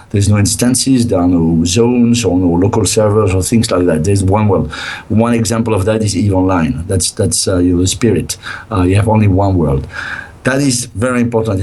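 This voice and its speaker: A middle-aged man, a game developer sounding tired and a bit hoarse, speaking hesitantly with many fillers. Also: on the low side, mellow. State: tired, nearly lost his voice. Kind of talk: casual interview over a call.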